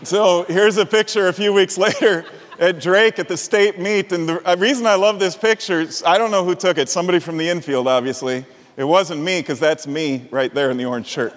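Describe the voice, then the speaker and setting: A man's voice: sits high, fairly quick. A middle-aged male pastor preaching a sermon, telling a personal story with frequent chuckles. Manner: animated storyteller, chuckles often. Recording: reverberant hall.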